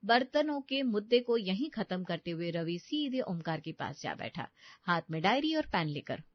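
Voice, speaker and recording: A woman's voice: mid-range voice, big pitch swings, moderate pace, dry recording, muffled recording. A woman reading scripted narration as the narrator of a family story.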